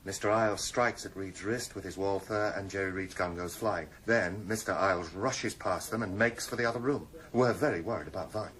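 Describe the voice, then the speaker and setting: A man playing a tough British police detective in a radio drama, measured, controlled and matter-of-fact. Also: deep voice, fairly bright tone. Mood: sober reporting. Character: steady, tough male police detective in command. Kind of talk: 1990s radio drama acting.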